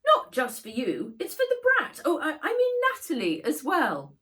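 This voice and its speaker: A self-important, busy mother from a storybook, performed by a woman narrator. Her voice is high and swooping, with an airy, affected, dismissive manner. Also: wildly swooping pitch, moderate pace, sharp tone, full character voice.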